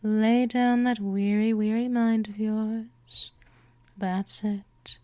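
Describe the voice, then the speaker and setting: A woman voicing a gentle but possessive vampire mistress in a hypnosis ASMR roleplay, coaxing softly and often slipping toward a whisper. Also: mid-range voice, level tone, no room sound, natural voice. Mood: soothing, lulling. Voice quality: soft, breathy whispering in places. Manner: slow, coaxing, drawn-out delivery.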